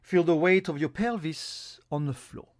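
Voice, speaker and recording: A man giving a guided yoga nidra meditation as an instructor, in a calm, soothing, instructional manner. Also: on the high side, normal speed, no room sound, clean studio sound.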